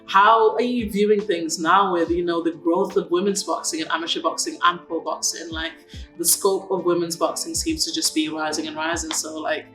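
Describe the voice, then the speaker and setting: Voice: medium pitch, fairly even intonation, moderate pace. An interviewer asking long questions hesitantly, with many fillers. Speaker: interviewer, host. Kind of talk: casual interview. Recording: distant and echoey, music behind.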